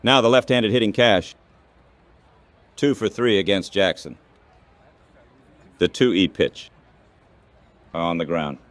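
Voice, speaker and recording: A man's voice: deep voice, slow pace, long pauses, no echo. A synthetic text-to-speech man's voice calling baseball play-by-play in a radio style, in short clipped phrases.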